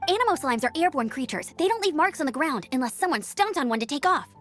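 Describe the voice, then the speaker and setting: A woman playing an earnest, upbeat young knight scout in a video game, brisk and determined in manner. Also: sits high, very animated, a bit bright. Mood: focused, explaining.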